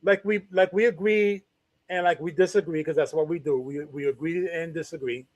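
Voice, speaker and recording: A man co-hosting a casual talk show, speaking in a wry, measured way and thinking aloud. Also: fairly high pitch, fairly even intonation, no echo, low-quality recording. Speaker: co-host.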